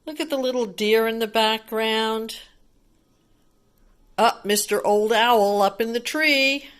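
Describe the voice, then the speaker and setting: A woman's voice: fairly high pitch, slow, a bit bright, clean tone. A woman reading a picture book aloud to young children, adding chatty commentary and simple explanations and often putting questions to the child.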